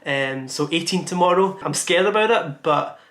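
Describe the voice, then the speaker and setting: A man's voice: on the high side, moderate pace, a bit bright, echoey room, a little hiss or hum. A young man around eighteen recording an earnest, self-reflective birthday vlog, rambling with lots of "like"s. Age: young adult, about 18 to 19. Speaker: vlogger. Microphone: camera mic.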